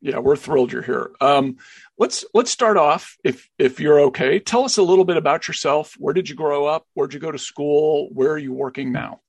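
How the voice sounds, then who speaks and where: Male podcast host; gravelly, husky voice; close, dry recording. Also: on the high side, normal speed, no room sound. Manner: repeats words when starting sentences. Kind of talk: podcast interview hosting.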